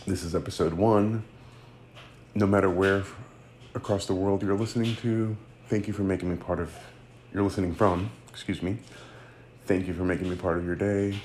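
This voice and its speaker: Low-voiced man hosting a podcast. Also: steady tone, moderate pace, a little mellow, slight background noise. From United States. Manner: rambling, with many fillers and self-corrections. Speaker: podcast host. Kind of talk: casual solo podcast monologue.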